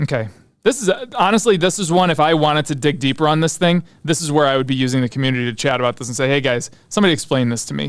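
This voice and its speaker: A man with a slightly high, very clean and smooth voice hosts a stock breakdown. He talks informally and unscripted, reasoning out loud and muttering asides to himself. The sound is dry and close. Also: dry recording. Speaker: host of a stock analysis show. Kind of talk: casual unscripted stock commentary.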